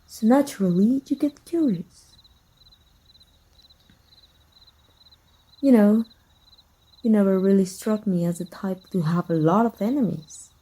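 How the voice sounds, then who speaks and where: Woman acting a dark, husky, possessive villainess. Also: mid-range voice, normal speed, lightly acted. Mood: teasing, probing. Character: jealous, possessive female villain, teasing and menacing. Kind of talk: ASMR roleplay, intimate monologue to the listener.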